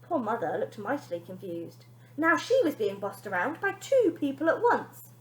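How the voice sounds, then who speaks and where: A woman teacher reading a children's story aloud in an expressive style. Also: on the high side, moderate pace, roomy sound, slight background noise, rough recording.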